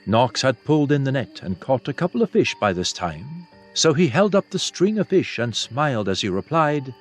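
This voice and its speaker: A man's voice: medium pitch, very animated, moderate pace. A man reading a fantasy novel aloud as scripted audiobook narration. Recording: soft music behind the voice.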